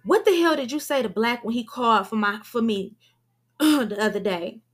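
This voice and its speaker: A woman voicing a defiant, sharp-tongued young woman who curses and argues. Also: medium pitch, moderate pace, natural voice. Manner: combative, cursing.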